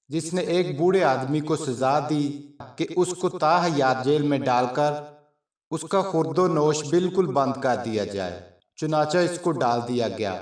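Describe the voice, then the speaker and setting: A man narrating a scripted story in a measured, formal storytelling manner. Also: a little high. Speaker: channel narrator. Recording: roomy echo, distant from mic.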